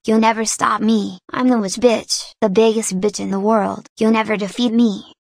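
A woman's voice: medium pitch, little pitch movement, moderate pace, gravelly, a little mellow, dry recording, studio quality. A woman voicing a menacing, possessive teenage witch in a crude anime parody dub. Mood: cold menace. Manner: threatening, crude.

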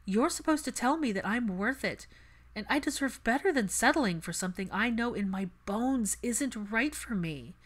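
Stubborn adult daughter, played by a woman narrator, emphatic, impassioned, argumentative, raised pitch, audiobook storytelling. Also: expressive, moderate pace. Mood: pleading, indignant. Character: Hermione, stubborn, impassioned adult daughter standing her ground.

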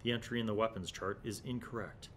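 A man's voice: steady tone, fast, a bit bright. A middle-aged man, hosting a casual, nostalgic review in a conversational way. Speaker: host of old gaming content series. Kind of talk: casual vlog commentary and review. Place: small indoor studio room. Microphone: desktop condenser microphone. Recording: clear background noise, low rumble.

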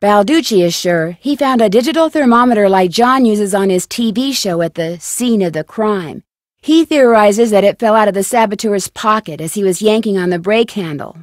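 A woman playing a calm, sensible young American detective in a video game, explaining things in a clear-headed way. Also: medium pitch, normal speed, no echo, clean studio sound, natural voice. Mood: matter-of-fact. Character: composed, sensible young female amateur detective. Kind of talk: scripted video game voice acting.

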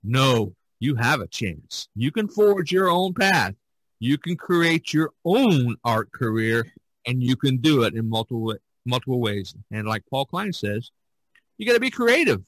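A man chats casually as a podcast host, with a medium-pitched voice in a dry recording. His delivery is folksy and rambling, often halting as he works toward his point. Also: big pitch swings, a bit bright, no echo, low-quality recording. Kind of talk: casual conversation.

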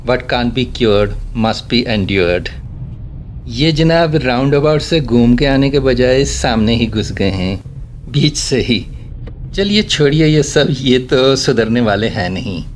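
A man giving a casual, wry running commentary as he drives a guest around a city, speaking from inside a car. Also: mid-range voice. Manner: chatty, wry remarks about traffic manners. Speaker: vlogger giving a city driving tour. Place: inside a car driving through a city in rain.

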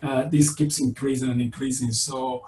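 Venezuelan-accented middle-aged professor, halting webinar talk, roomy distant sound with faint noise. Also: male voice, medium pitch, flat delivery, warm tone. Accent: Venezuelan Spanish. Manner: halting, many fillers and restarts. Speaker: virology professor presenting. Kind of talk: webinar lecture. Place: indoor room on video call. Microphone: laptop webcam on video call.

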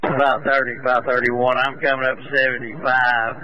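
A man's voice: mid-range voice, level tone, normal speed, a crowd in the background. A male police officer giving terse messages over a two-way radio. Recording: dull police scanner radio.

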